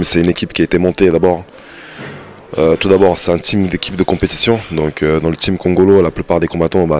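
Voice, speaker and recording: A man with a very low voice talks about his fight team, pausing often with fillers. He speaks into a handheld mic in a curtained indoor lounge. His tone stays low and steady. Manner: hesitant, many fillers. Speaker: fight team head coach. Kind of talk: group interview. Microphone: handheld mic with windscreen.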